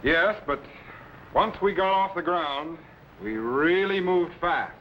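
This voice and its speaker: An actor playing a commanding time-travelling captain with authoritative, dramatic delivery in an old children's sci-fi serial. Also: male voice, on the high side, animated, takes it slowly, muffled recording, a little hiss or hum. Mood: calm, explanatory.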